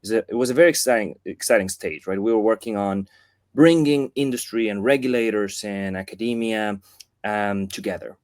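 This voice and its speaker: A man from Argentina with an Argentine accent, his voice sitting low and heard dry and close over a video call. He talks in a casual, thoughtful way, often stopping to rephrase mid-sentence. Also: brisk, a little mellow, no echo. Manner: thoughtful, with frequent restarts and fillers. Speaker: guest, foundation chief of staff. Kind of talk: casual interview talk.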